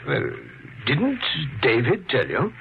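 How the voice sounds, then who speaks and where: Courteous, evasive mayor with animated delivery. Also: male voice, mid-range voice, slow, exaggerated voice. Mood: evasive, uneasy. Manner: formal, hesitant, apologetic. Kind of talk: 1950s radio drama acting. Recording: dull, faintly noisy 1950s radio recording.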